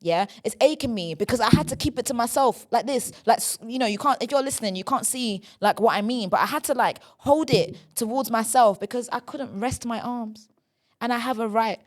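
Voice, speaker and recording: A woman's voice: on the high side, fairly quick. Young female podcast host. Chatty solo rant that leans on tag questions. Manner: chatty, tag questions after nearly every line.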